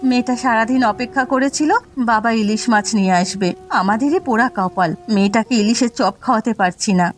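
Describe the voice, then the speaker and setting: A woman's voice: fairly high pitch, brisk, faint music behind, natural voice. A woman playing a worried, tender village wife and mother in a cartoon, speaking in a plaintive, earnest way. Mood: worried, sorrowful. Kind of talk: Bengali cartoon voice acting.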